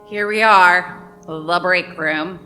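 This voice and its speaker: Bright, flippant coworker. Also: female voice, on the low side, slow pace, faint music behind, low-quality recording. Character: flippant, dry female radio station coworker. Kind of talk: radio play dialogue.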